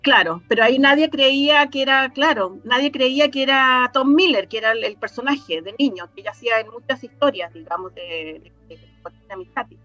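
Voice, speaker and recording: A woman's voice: sits high, fairly quick, hardly any pauses, pushed and bright, dull audio, soft background music. A woman with a Chilean accent explaining things casually as an interview guest, often searching for words. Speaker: interview guest, radio drama scriptwriter.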